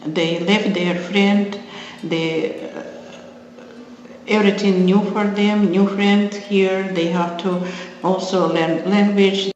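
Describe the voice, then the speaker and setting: A woman speaks slow, halting English in an interview. The recording is muffled, with long hall echo and soft music beneath. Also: medium pitch, fairly even intonation, slight background noise. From Russia.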